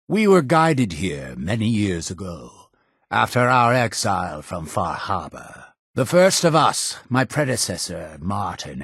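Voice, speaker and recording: Gravelly, zealous cult leader. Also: male voice, mid-range voice, dry recording, studio quality, exaggerated voice. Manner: solemn, deliberate, preacher-like oratory with dramatic pauses. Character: male cult leader, zealous, imperious, pious and guarded. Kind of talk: video game character dialogue.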